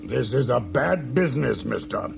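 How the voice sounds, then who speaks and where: An actor playing a pompous, self-pitying tyrant of a starship captain, slow, imperious and gravelly, in a 1980s radio science-fiction drama. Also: male voice, mid-range voice, sing-song, over music. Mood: self-pitying, commanding.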